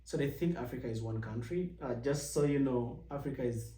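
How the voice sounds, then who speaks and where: A young man from Uganda talks through his experiences in a relaxed, rambling way, often restarting his sentences. His mellow voice sits a little back from the mic in an echoey room, and it jumps higher whenever he mimics someone asking him a question. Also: fairly quick, far from the mic. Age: young adult. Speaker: vlog host. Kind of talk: casual personal storytelling.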